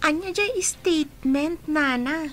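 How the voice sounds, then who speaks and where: A woman's voice: high-pitched, slow pace. A woman playing an anxious, questioning orphaned child in a radio drama, with plaintive, respectful delivery. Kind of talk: radio drama acting in Ilocano.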